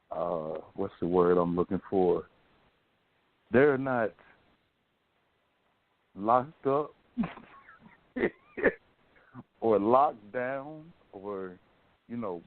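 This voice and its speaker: A man's voice: on the low side, no room sound, phone-quality sound. A man with an American accent hosting a talk show, chatting casually in informal slang. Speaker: radio show host. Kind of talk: casual talk radio chat.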